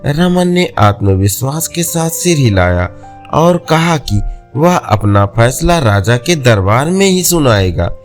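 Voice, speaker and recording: A man's voice: mid-range voice, sing-song, slow pace, soft background music. A man narrating a scripted folk tale as a storyteller.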